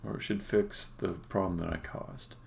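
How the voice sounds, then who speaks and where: A man with a low, heavily creaky voice talks himself through code on a live stream. He speaks haltingly, often muttering to himself. There is faint background noise, with keyboard clicks and typing. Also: fairly even intonation. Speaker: game developer live-streaming. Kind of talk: thinking aloud while live-coding. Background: computer keyboard typing and clicking.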